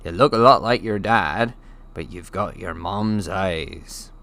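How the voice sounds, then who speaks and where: A man's voice: low voice, slow, bright, forceful tone, exaggerated voice. A man voicing a huge, brash, jovial bearded giant in a storybook reading, with folksy, colloquial speech and dropped endings. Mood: fond.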